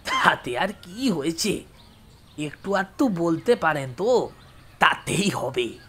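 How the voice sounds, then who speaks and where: A woman voicing a bossy, talkative caretaker man with a husky, emphatic delivery in an audio story drama. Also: fairly low pitch, big pitch swings, takes it slowly, fairly bright tone, voice pushed down, heavily acted. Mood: explaining. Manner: commanding, scolding, emphatic.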